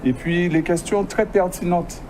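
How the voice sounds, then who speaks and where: A man's voice: sits high, fairly even intonation, unhurried, background noise. A man from the school staff, speaking hesitantly and stammering through restarts.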